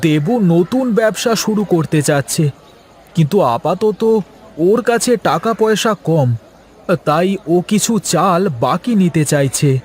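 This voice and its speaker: A man acting as a young village customer in a cartoon, polite and deferential. He speaks in a very high voice for a man. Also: lively intonation, measured pace, a little mellow, a little hiss or hum. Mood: polite, persuasive.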